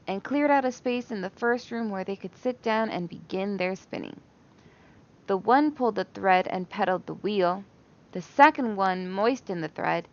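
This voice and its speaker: Woman reading a kids bedtime story expressively, medium pitch, bit lo-fi. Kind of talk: expressive bedtime story read aloud for children.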